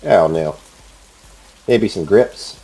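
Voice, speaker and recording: A man's voice: low-pitched, expressive, drawn-out words, gravelly, mellow, full character voice, low-quality recording. A man playing a teasing army captain who speaks with exaggerated, mock politeness. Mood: mock-polite.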